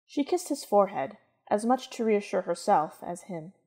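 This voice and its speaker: A woman's voice: medium pitch, animated, normal speed, a little mellow. A woman reading scripted story narration in the manner of a radio play.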